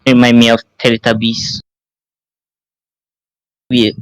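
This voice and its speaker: A man with a smooth, clear voice and medium pitch talks casually as a podcast panelist, taking his time with short reactions. The recording is very dry. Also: monotone, slow pace, no echo.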